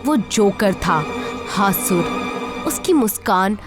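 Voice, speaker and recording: A woman's voice: mid-range voice, expressive, slow, music in the background. A woman narrator reading a scripted horror story.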